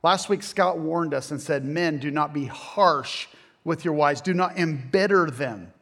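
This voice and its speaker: Male preacher, middle-aged, conversational sermon, joking asides, slightly high voice. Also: unhurried, fairly bright tone. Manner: casual, self-deprecating, joking asides.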